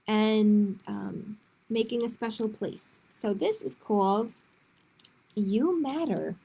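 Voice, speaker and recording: Slow, higher-pitched woman reading aloud. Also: gravelly, a little mellow, slightly rough. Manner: unhurried, simple read-aloud phrasing with casual asides. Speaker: children's librarian, storytime host. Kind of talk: reading picture books aloud to children.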